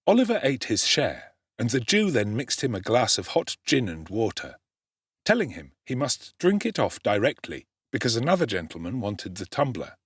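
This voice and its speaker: A man's voice: medium pitch, wildly swooping pitch, normal speed, husky, no echo, clean studio sound. A man giving a dramatic narration of a classic novel as an audiobook actor.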